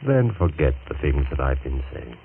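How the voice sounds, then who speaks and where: A deep-voiced menacing surgeon. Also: male voice, very animated, gravelly, exaggerated voice. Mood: persuasive. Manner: menacing, measured and insinuating. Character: scheming male surgeon, vindictive and smooth. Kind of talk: 1940s radio acting. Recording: tinny old radio broadcast with faint hiss.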